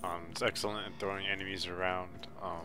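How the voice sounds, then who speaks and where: A man's voice: sits low, flat delivery, a little fast. A man giving a casual, matter-of-fact walkthrough commentary on a video game. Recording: game music behind the voice. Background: video game music.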